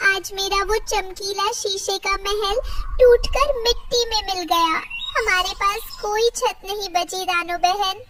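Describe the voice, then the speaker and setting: A woman's voice: very high voice, steady tone, slow pace, over a noisy crowd, pitched above the performer's own voice. A woman voicing a once-proud female crow in a cartoon, tearful and begging forgiveness. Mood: tearful, remorseful. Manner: tearful, self-reproaching.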